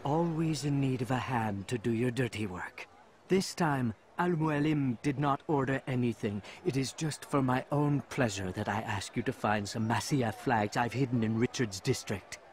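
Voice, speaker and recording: An actor playing a smug, scornful assassin informant in a video game, with a taunting, condescending manner. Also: male voice, mid-range voice, moderate pace, a little hiss or hum, natural voice. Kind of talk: video game cutscene acting.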